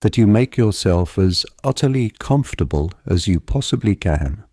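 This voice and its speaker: Male voice, low-pitched, mellow, gentle hypnotic meditation narration, measured and calm, close dry sound. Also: dry recording. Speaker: guided visualisation narrator.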